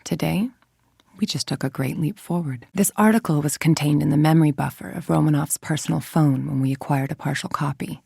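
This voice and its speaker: An actress voicing a cold, calculating middle-aged villain scientist in a video game, with a clipped, controlled, authoritative and menacing delivery. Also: female voice, low-pitched, a little fast, gravelly, dark tone, slightly rough, no echo, clean studio sound, heavily acted. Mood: cold, measured.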